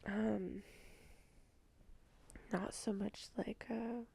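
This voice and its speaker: A woman leading a channeled guided meditation, speaking softly and half-whispering, with sighs and long pauses. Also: medium pitch, level tone, creaky, breathy edge. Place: indoors at night.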